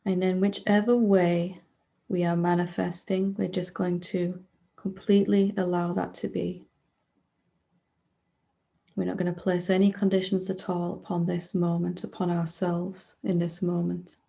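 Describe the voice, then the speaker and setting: Gentle, low-toned woman guiding meditation, creaky and mellow. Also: level tone, dull audio. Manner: gentle, unhurried, with long pauses between short phrases. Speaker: spiritual teacher leading a guided meditation. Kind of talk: guided meditation, unscripted gentle prompting.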